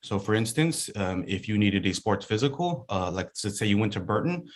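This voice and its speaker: A man speaking as a school wellness coordinator, giving a relaxed, chatty welcome talk full of fillers over a video call. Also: lowish voice, brisk. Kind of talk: casual welcome talk to students and parents.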